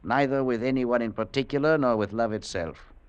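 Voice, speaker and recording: An actor playing a detached, cold professor in an old-time radio drama, speaking in a dry, clipped way. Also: male voice, mid-range voice, a little fast, clean tone, highs cut off, natural voice. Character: cold aloof older male professor, nervous.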